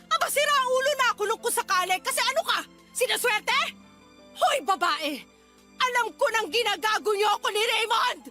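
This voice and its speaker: A woman's voice: very high voice, animated, normal speed, bright, forceful tone, faint music behind, exaggerated voice. A woman playing a furious betrayed wife and older sister in a radio drama, scolding and confrontational. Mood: bitter, accusing. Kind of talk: Tagalog radio drama acting.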